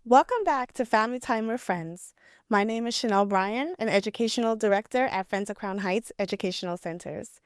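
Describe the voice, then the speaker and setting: A woman's voice: medium pitch, a little fast, no echo, clean studio sound, soft background music. A woman hosting an interview, introducing her guest and asking questions in a polite, formal way. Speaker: interview host, educational director. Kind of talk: hosted interview.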